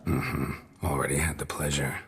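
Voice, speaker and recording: An actor playing a stoic, weathered monster hunter in a video game, with a low gravelly voice and terse, deadpan phrases. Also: male voice, moderate pace, crowd noise. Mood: dry, guarded.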